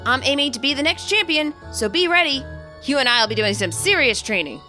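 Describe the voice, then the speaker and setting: A woman voicing an eager, cocky teenage boy trainer in a game story, excitable and boastful. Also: high voice, a little fast, soft background music. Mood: eager, competitive.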